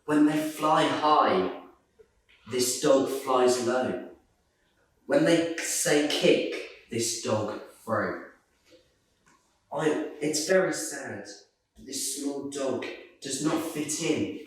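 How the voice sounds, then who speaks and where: A man reading a rhyming children's picture book aloud in a measured, sing-song way. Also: mid-range voice, slight background noise. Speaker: storybook reader. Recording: roomy echo, distant microphone.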